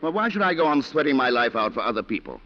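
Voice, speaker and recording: An actor playing an eager, trusting husband in a 1940s radio drama, stammering and repeating his words excitedly. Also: medium pitch, quick pace, lightly acted. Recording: muffled 1940s radio broadcast.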